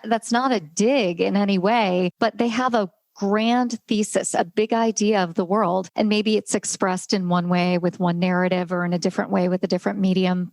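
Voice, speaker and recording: A woman's voice: medium pitch, no room sound. Upbeat woman podcast host, dry sound. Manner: upbeat, enthusiastic questioner. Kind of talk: podcast interview.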